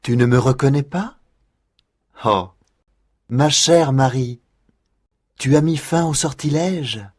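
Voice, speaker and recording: A woman voicing a brave young prince, an enchanted nutcracker, in a storybook reading, with a low, slow, solemn delivery. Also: a little mellow, husky, dry recording, studio quality, exaggerated voice.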